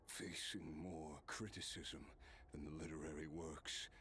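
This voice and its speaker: An actor playing a weary, once-proud nobleman warrior cursed with immortality, in breathy, hushed, reflective dramatic game narration. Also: extremely low pitch, fairly even intonation, measured pace, slight background noise, full character voice.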